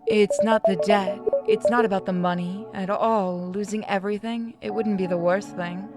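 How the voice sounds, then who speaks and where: A woman voicing a bitter, disillusioned wife with an accusing, sharp-tongued manner in a visual novel. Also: medium pitch, steady tone, moderate pace, loud music behind the voice, close to an everyday voice. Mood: bitter, wounded.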